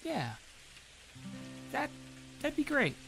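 A man's voice: very high-pitched, huge pitch swings, slow, vocal fry, a bit bright, faint music behind, heavily acted. An actor playing a shy, earnest male traveler, awkwardly smitten, in a naturalistic audio drama. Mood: shy, hopeful.

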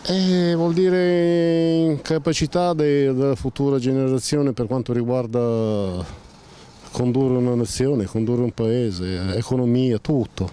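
A man in his sixties answering a street interview outdoors, halting and restarting words as he grumbles. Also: mid-range voice, fairly even intonation, mellow, clear, resonant tone. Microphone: handheld mic held by interviewer.

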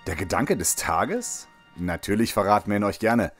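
A man performs a jovial military radio host in a sci-fi audio drama, a propagandist with chummy patter. His voice sits on the low side and music plays underneath. He presents everything with showy pep. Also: animated, moderate pace.

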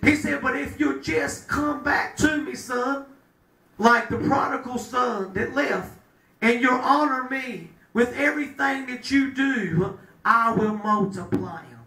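A middle-aged man preaching a sermon at a pulpit, breaking into shouts and drawing call-and-response from the room. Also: extremely high pitch, slow pace. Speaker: pastor. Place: small chapel room with a pulpit. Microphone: handheld mic, filmed by a far camera. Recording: echoey room from far off.

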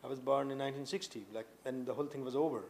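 A man in his late thirties with an Indian accent, sitting for an indoor interview. He speaks earnestly at a middling pitch, stopping often on "uh" and starting his sentences over. A faint hum hangs in the room behind him. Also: fairly even intonation, a little mellow. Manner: earnest, halting, lots of uh fillers and restarts. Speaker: poet guest. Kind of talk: interview answers. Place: indoor room with tapestries and a sofa.

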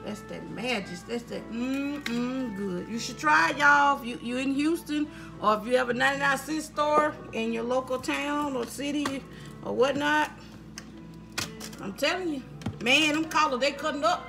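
A woman's voice: sits high, moderate pace, creaky. A woman, chatting casually with viewers in her home kitchen, filmed by a camera on the counter. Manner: chatty, folksy, talks to viewers as y'all. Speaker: cooking vlogger. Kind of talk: casual cooking vlog talk to viewers. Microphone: camera on the counter, moved around. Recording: echoey kitchen with background music.

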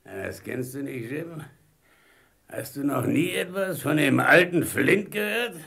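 A bossy old sea captain for a radio play. The voice is heavily gravelly and swings widely as he blusters, drawing out his words in a slow, rum-soaked sailor's growl. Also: on the high side, animated, bright, forceful tone, heavily acted. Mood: wary, conspiratorial. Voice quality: gravelly, creaky. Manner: blustering, drawn-out sailor talk with chuckles. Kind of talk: German radio play acting.

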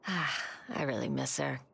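A woman's voice: extremely low pitch, slow pace, creaky, background noise, exaggerated voice. A woman playing a wistful, sincere townsperson in a video game.

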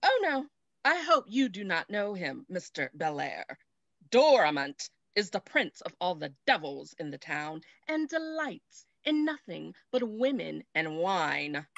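A woman's voice: on the low side, very animated, a little slow, gravelly, dry recording, highs cut off, exaggerated voice. A woman playing an alarmed, prudish mother in a period comedy, dramatic and disapproving, over a video call. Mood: scandalized. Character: alarmed, prudish, scandalized mother of a young lady. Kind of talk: theatrical period comedy reading. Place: indoor room, video call.

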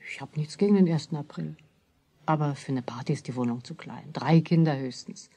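A woman's voice: deep voice, a little fast, dark tone, breathy edge, no echo. An actress playing a practical, dry-humoured old grandmother in a children's radio play.

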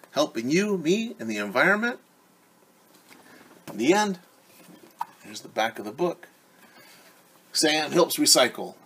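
Male preschool teacher for read-aloud, forties, mid-pitched and a little husky, animated, engages kids directly. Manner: animated, addresses children directly with questions and repeats key words. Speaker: children's teacher reading a storybook. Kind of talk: story time read-aloud for young children. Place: living room at home, indoors.

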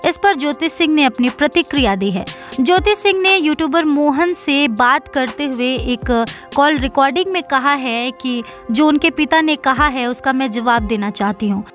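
High-pitched woman news presenter. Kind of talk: scripted news narration. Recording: music playing behind. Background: background music.

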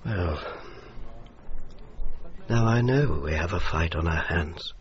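An actor playing a courteous, measured general in a radio drama, polite and restrained. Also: male voice, sits low, animated, highs cut off. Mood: resolute. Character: courteous, measured male major general, administrator. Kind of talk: BBC radio drama acting.

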